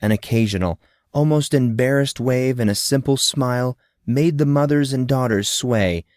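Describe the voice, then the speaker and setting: A man's voice: medium pitch, moderate pace, a little mellow, dry recording, clean studio sound. A man reading a fantasy novel aloud as narrator, in a friendly storytelling manner. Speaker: audiobook narrator.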